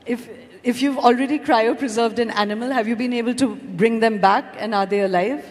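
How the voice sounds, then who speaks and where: A woman's voice: on the high side, steady tone, brisk. A woman moderating a conference panel, asking probing questions in an informal way, thinking aloud as she goes. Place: large conference hall. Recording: reverberant hall with faint noise.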